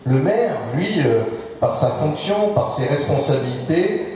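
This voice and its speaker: A man, a local elected official, delivers a formal speech at a public meeting in a measured, halting way, often hesitating and repeating words. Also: on the high side, animated, warm tone, a little hiss or hum. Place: large public meeting hall, indoors. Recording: very echoey hall, distant microphone.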